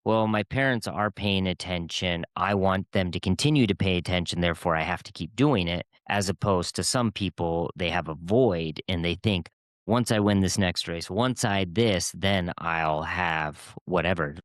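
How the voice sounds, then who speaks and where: A man's voice: low-pitched, normal speed, no echo. A man hosting a podcast, asking probing questions and rephrasing them casually. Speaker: podcast host.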